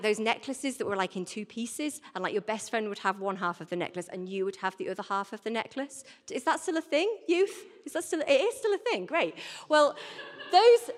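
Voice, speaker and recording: A woman's voice: on the high side, fairly bright tone. A woman in her thirties gives an informal, conversational sermon as a church speaker, often putting rhetorical questions to her listeners. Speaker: church warden giving a talk. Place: large indoor church. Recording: reverberant hall, live talk.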